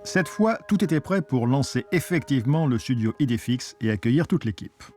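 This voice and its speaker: A man's voice: mid-range voice, lively intonation, moderate pace, a little mellow. A man reading scripted documentary narration. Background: music.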